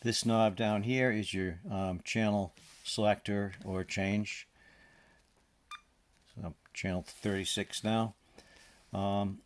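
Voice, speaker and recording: A man giving an informal hands-on demonstration as a radio hobbyist, speaking haltingly and often hesitating. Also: fairly low pitch. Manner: halting, hesitant, throat-clearing.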